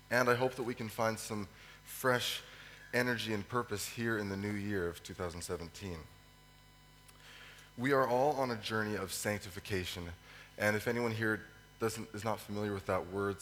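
A man with a cold, his voice hoarse and fading, preaches a sermon with many ums and pauses to clear his throat. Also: lowish voice, a bit bright. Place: church hall. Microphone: microphone. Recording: echoey hall with faint hum.

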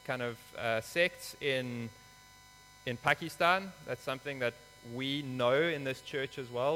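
A man's voice: mid-range voice, pushed and bright. Guy preaching in church, earnest, lots of ums, faint mains hum in the background. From South Africa. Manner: earnest, explanatory, with hesitant fillers. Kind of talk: sermon with scripture reading. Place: church hall. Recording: reverberant hall with mains hum.

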